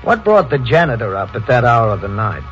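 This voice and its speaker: An actor playing a matter-of-fact police detective in a 1940s radio drama. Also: male voice, medium pitch, very animated, brisk, over music, close to an everyday voice. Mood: businesslike. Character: gruff, weary police detective. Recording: lo-fi old radio recording with faint hiss.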